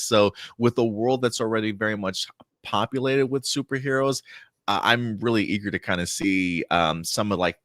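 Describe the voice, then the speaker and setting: A man's voice: fairly low pitch, a bit bright, no room sound. A man hosting a casual live entertainment talk show, discussing as a fan in an emphatic, opinionated way.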